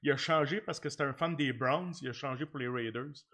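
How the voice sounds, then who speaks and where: A man's voice: mid-range voice, fast, a bit bright. A Québécois man with a mid-range voice hosts a casual livestream from a desk microphone. He talks informally and chattily, with plenty of slang and effusive thanks to guest and viewers. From Quebec, Canada. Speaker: sports livestream host. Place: small home studio room, indoors. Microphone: large desk microphone close up.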